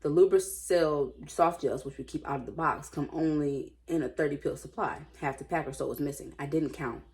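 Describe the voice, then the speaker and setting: A woman's voice: deep voice, normal speed, few pauses, a little mellow. A woman reading stories aloud casually, breaking off for playful, slangy, off-the-cuff reactions. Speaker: host reading Reddit stories. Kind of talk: casual read-aloud with chatty off-the-cuff commentary.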